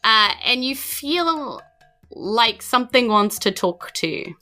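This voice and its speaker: A woman with a New Zealand accent, narrating as game master in a halting, searching way with many "likes". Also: a little high, expressive, sharp tone, faint music behind.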